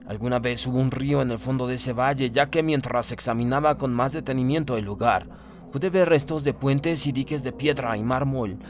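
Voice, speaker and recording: A man narrating a literary horror audiobook in a solemn, measured, formal manner. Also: medium pitch, a little hiss or hum. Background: background music.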